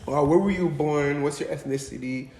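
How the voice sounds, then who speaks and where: Male interview host, casual and affirming, slightly high voice; echoey room, faint hum behind. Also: normal speed, warm tone. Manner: affirming, repeats short reactions.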